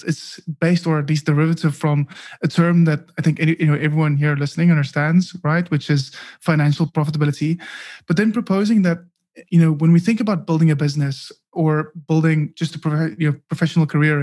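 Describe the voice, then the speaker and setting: Podcast guest: a fairly high-voiced man, flat intonation, thoughtful, stop-start phrasing. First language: Afrikaans. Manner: thoughtful, many fillers and restarts. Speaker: guest, startup founder and author. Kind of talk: casual podcast interview.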